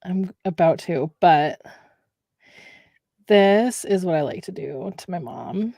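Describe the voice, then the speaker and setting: A woman's voice: on the low side, slow pace, mellow, no room sound, studio quality. A young woman hosting a casual chat with a friend, talking in a chatty, easygoing way and laughing often. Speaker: podcast host.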